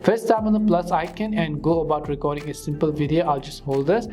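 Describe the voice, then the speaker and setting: A man's voice: on the high side, steady tone, brisk, mellow. A man presenting a step-by-step how-to tutorial as voice-over narration. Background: background music with guitar.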